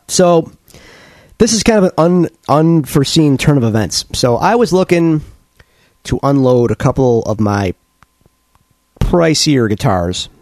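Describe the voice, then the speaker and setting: A man co-hosting a podcast, still congested from a cold, rambling through animated stories with many restarts. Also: mid-range voice, no echo, studio quality. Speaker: podcast co-host. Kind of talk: casual chat.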